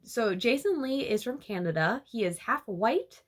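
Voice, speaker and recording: A young woman with a slightly high voice chatting through a book summary for her channel. She giggles often and peppers her sentences with "like" and "you know." Her delivery is loose, casual and excited. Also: measured pace. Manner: chatty and giggly, full of fillers like "like". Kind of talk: casual chapter-by-chapter retelling.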